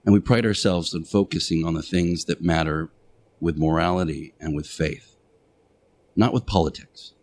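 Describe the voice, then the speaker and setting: Low-pitched man delivering a deliberate radio monologue. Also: fairly even intonation, a little mellow. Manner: deliberate, emphatic short phrases with pauses and repetition. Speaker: radio show host playing Jesus in interactive radio theater. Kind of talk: radio monologue, preaching on faith and morality.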